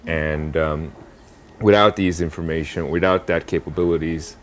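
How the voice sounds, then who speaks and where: Deep-voiced man, young adult, halting speech, frequent uh fillers, faint background hum. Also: fairly even intonation. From South Korea. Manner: halting, many fillers and restarts. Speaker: impact investor. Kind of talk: interview answers.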